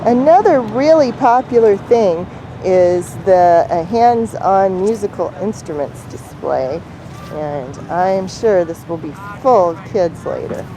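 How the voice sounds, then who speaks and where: A woman's voice: medium pitch, lively intonation, measured pace. A woman hosting a vlog, asking craft demonstrators curious questions outdoors. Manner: friendly interviewer, curious. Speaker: vlog host. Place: outdoor festival grounds. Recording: outdoor noise.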